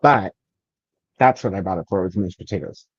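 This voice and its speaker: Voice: low voice, normal speed, breathy edge, no room sound. Casual, joking host with dull sound. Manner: sweary, joking. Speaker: livestream host reading out chat. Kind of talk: casual chat.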